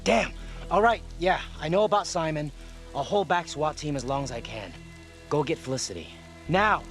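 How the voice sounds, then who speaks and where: An actor playing a gruff, loyal male police partner in a video game thriller, terse and urgent. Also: a little high, animated, normal speed, a bit bright, soft background music. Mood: urgent, strained. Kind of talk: cinematic video game acting.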